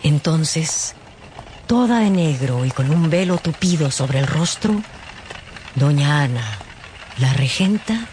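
A man's voice: fairly high pitch, expressive, slow, darkish tone, slight background noise. A man narrating a scripted radio drama as a storyteller.

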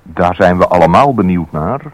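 A man's voice: deep voice, animated, moderate pace, lo-fi sound, noisy background. A man narrating a radio storytelling sign-off on an old recording. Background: loud-ish music.

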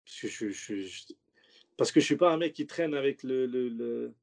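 A man's voice: medium pitch, lively intonation, moderate pace, gravelly, a little mellow. A man who is a stand-up comedian, talking loosely in a casual interview, slangy and rambling with many restarts. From French suburbs.

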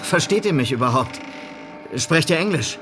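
An actor playing a polite, somewhat unsure police sergeant in a German radio western, speaking formally. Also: male voice, fairly high pitch, lively intonation, moderate pace, noisy background, lightly acted. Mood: polite, uncertain. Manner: formal, polite, slightly hesitant. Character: polite, unsure male city police sergeant seeking a scout.

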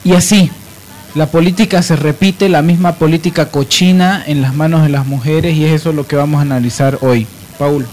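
A man hosting an informal political radio discussion, halting as he searches for words. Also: on the high side, steady tone, a little mellow, slight background noise. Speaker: radio show host.

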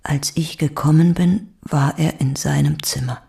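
An actress playing a subdued, evasive mother under police questioning, quiet, halting and terse, in a naturalistic radio drama. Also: deep voice. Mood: stubborn denial. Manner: quiet, halting, terse, often breathy near-whisper.

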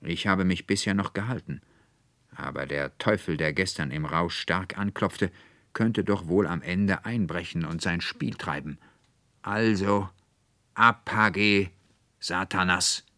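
Guy doing a grumpy suspicious schoolmaster, storybook style, blustery and sputtering, talks fast. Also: deep voice, sharp tone, no room sound, full character voice. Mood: indignant, malicious. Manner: exclaiming, sputtering, stammering. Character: irascible, blustering middle-aged schoolmaster, suspicious and easily flustered.

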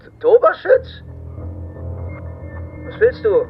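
An actor playing a curt, suspicious former East German officer over an intercom in a radio drama, with a German accent. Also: male voice, very high voice, wildly swooping pitch, slow pace, lo-fi sound, faint music behind, heavily acted. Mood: suspicious, gruff. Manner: curt, clipped replies, startled and suspicious. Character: gruff, befuddled former Stasi officer answering an intercom.

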